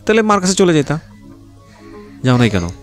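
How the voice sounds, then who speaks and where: A man's voice: a little high, very animated, moderate pace, faint music behind. A man with a Bangladeshi accent hosting an interview, speaking informally and asking probing, prodding questions. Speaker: radio show host interviewing guests.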